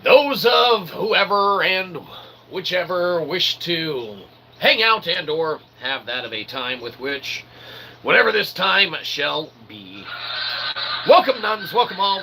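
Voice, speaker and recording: A male comedy host with a bright, forceful, slightly high voice. He rambles through a monologue in wordy, mock-formal phrases and plays the showman, with some noise behind him. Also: expressive, unhurried, rough recording. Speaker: host of a comedy philosophy show.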